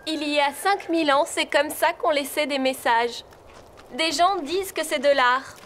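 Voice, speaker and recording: A woman voicing an earnest, articulate teenage girl who rides horses in a French animated series. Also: sits high, moderate pace, a little hiss or hum. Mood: explaining.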